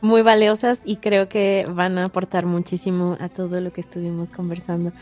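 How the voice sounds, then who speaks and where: A woman's voice: lowish voice, level tone, moderate pace, a little mellow, faint music behind. A woman hosting a podcast interview in a casual, agreeable and affirming conversational manner. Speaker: podcast host.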